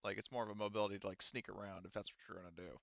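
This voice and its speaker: A man's voice: on the low side, level tone, rapid-fire, vocal fry, a bit bright, dry recording. A man acting as game master, describing scenes and rulings in a casual, conversational, hedging way over slightly dull audio. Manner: informal, conversational, hedging explanations. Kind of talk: casual tabletop game narration.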